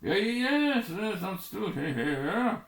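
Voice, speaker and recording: A man's voice: high voice, sing-song, drawn-out words, gravelly, fairly bright tone, echoey room, pitched above the performer's own voice, heavily acted. A man voicing a panicky, stammering research scientist in distress in a sci-fi adventure story. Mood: flustered. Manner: stammering, spluttering alarm.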